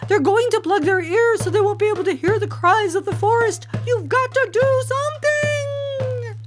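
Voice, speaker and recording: A woman's voice: very high-pitched, normal speed, loud music behind the voice, voice pushed up. A woman voicing anxious village children in a storybook, pleading urgently.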